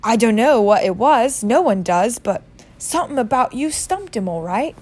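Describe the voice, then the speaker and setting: A woman voicing a huge, warm-hearted bearded male giant with rough, rural speech. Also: on the high side, lively intonation, normal speed. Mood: confiding.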